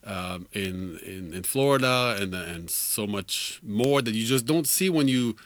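A man in his forties with a lowish voice, a native French speaker, chatting loosely as a guest in a radio studio. He laughs easily and strings his thoughts together with lots of fillers, heard on a dry, close sound. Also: expressive, unhurried, no echo. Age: 40s. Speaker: musician guest. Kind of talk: casual radio interview.

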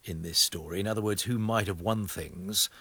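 A man's voice: low-pitched, moderate pace, fairly bright tone, husky. A man presenting a live radio interview from a studio.

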